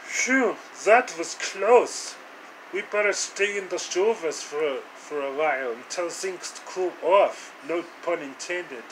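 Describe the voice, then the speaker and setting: A talkative young storybook adventurer performed by a man, hesitant and prone to repeating phrases. The voice is high and slow, with faint air-conditioning noise behind it. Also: fairly bright tone, low-quality recording. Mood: hesitant, relieved. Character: food-loving, talkative young adventurer hiding out.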